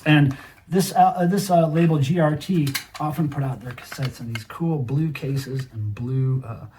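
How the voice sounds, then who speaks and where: A man's voice: fairly high pitch, normal speed, darkish tone, a little hiss or hum. A middle-aged man hosting a casual show-and-tell, talking to viewers directly with a collector's enthusiasm. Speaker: video host, cassette collector.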